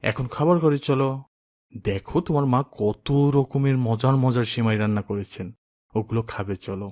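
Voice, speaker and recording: A man playing a pious, kindly father in a cartoon, gentle and instructive with his son. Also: medium pitch, normal speed, no echo, studio quality, lightly acted. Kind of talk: cartoon drama voice acting.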